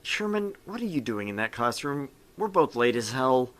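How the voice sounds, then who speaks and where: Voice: medium pitch, very animated, moderate pace, natural voice. A performer voicing an awkward, earnest young male recruit in a visual novel game, often hesitant and grumbling.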